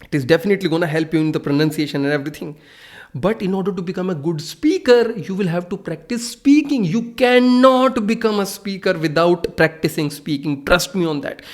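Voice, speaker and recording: A man's voice: high voice, lively intonation, fairly quick. Earnest Indian man with Hindi roots. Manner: earnest, repetitive, restarts often. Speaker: English-speaking channel host. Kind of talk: casual to-camera talk. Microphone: phone camera.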